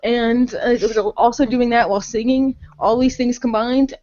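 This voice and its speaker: A woman's voice: fairly high pitch, steady tone, unhurried, mellow, highs cut off. A young adult woman chatting casually on a podcast, gushing enthusiastically with lots of slang and swearing. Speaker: podcast co-host.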